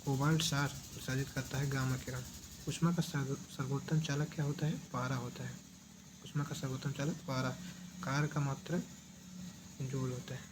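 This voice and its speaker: A man with a medium-pitched voice teaches as an exam coach. He poses short questions and then repeats the answers in a didactic way. There is clear noise behind his voice. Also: little pitch movement, normal speed.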